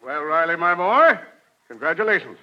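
An actor playing a pompous, wheedling, tightfisted uncle full of bluff in a 1940s radio sitcom. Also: male voice, fairly high pitch, sing-song, moderate pace, noisy background. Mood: unctuous, wheedling. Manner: pompous, stammering when cornered. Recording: muffled 1940s radio recording.

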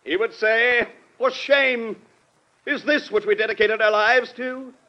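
A man's voice: extremely high pitch, steady tone, unhurried. An actor playing a pompous, blustering banquet chairman who speechifies in an old-time radio drama. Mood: pompous, rousing. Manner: oratorical, peppered with "eh?". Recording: muffled vintage radio recording.

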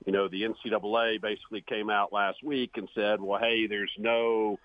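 Halting American man on phone-like audio. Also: fairly low pitch, flat delivery, dry recording. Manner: halting, hesitant phrasing. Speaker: university athletic director. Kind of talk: interview answer. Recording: thin, phone-like interview audio.

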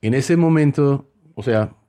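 A man's voice: mid-range voice, measured pace. Joking man with a mixed Spanish accent. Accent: mixed Peruvian, Spanish and Mexican-American Spanish. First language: English. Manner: joking, animated storytelling. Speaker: podcast host. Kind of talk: casual chat.

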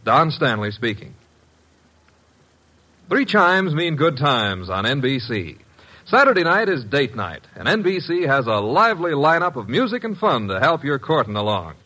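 A man's voice: mid-range voice, very animated, moderate pace, sharp tone. A man reading scripted credits and promos as a polished, formal vintage network radio announcer. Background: music.

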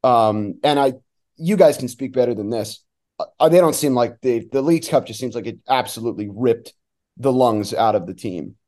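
A man who works as a sports announcer, giving casual, somewhat halting analysis as a guest on a talk show. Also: medium pitch, a little mellow.

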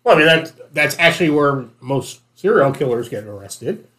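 A man's voice: a little high, animated, normal speed, a bit bright. A man on a discussion panel, explaining points casually but argumentatively, with precise legal wording.

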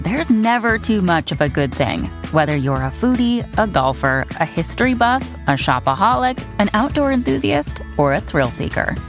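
Woman, ad voice-over, lively swooping pitch, faint music behind. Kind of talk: upbeat scripted travel ad.

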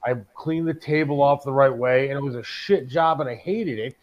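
A man's voice: mid-range voice, normal speed, dull audio. A middle-aged American man co-hosting a talk show, griping casually and emphatically, with some swearing. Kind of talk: casual, opinionated chat.

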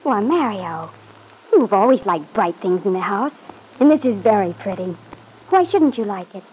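An actress playing a sweet, anxious young wife, eager and placating, in an old-time radio soap opera. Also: female voice, mid-range voice, very animated, normal speed, a little mellow, a little hiss or hum, close to an everyday voice, low-quality recording. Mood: eager, cheerful.

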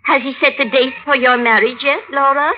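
A woman's voice: high voice, fairly even intonation, brisk, close to an everyday voice. An actress playing a probing, scolding mother of a grown daughter in an old-time radio drama. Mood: nagging. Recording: dull vintage radio recording.

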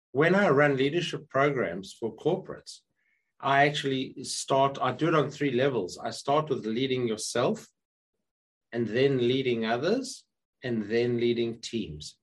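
A hoarse man with a croaky voice, a guest speaker on a video call, telling stories in casual conversation and often restarting his sentences. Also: medium pitch. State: hoarse, recovering from illness. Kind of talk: casual interview chat.

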